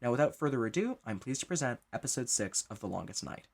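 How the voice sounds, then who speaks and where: Quick, husky man reading announcements. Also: medium pitch, very animated. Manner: formal, clearly scripted delivery. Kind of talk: scripted announcement.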